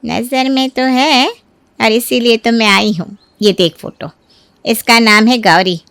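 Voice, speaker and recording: A woman's voice: a little high, fairly even intonation, unhurried, a bit bright, clean tone, dry recording. A woman voicing a chatty, pushy older neighbourhood auntie who makes matches, in acted story dialogue. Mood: coaxing.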